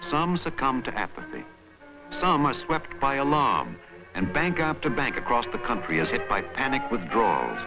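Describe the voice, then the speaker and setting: A man narrating a historical documentary in a solemn, dramatic, measured newsreel style. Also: medium pitch, huge pitch swings, low-quality recording. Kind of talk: scripted historical documentary narration, mid-century newsreel manner. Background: music.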